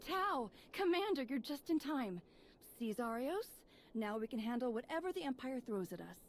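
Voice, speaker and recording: Spirited young Jedi heroine: confident, lively intonation, game scene with light noise. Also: female voice, high voice, moderate pace. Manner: eager, bold. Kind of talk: cinematic video game acting.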